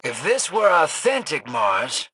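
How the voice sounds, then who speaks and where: An actor in a video game playing a roguish, wisecracking drifter with a gravelly voice and folksy, slangy phrasing. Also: male voice, a little high, wildly swooping pitch, slow, background noise, heavily acted. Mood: sardonic. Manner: folksy, slangy, teasing drawl of a rogue. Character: roguish, wisecracking, streetwise male drifter and schemer.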